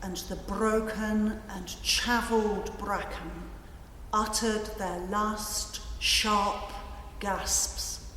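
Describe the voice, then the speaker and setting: A woman giving a measured, deliberate dramatic recitation of literary prose as a narrator. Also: mid-range voice, steady tone, pushed and bright, slight background noise. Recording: reverberant hall, music behind.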